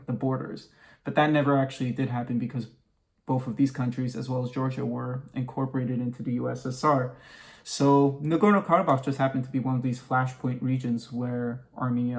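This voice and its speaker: Measured man explaining history, fairly flat delivery. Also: medium pitch. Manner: measured, precise, formal wording. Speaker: history expert guest. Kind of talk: explanatory interview answers.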